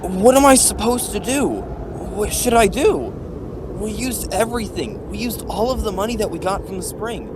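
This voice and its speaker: An actor in an anime-style fan dub playing a desperate, bitter young male rider, speaking in emotional, halting phrases. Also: mid-range voice, noisy background, lightly acted. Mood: anguished, desperate. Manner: emotional, halting, drawn-out delivery.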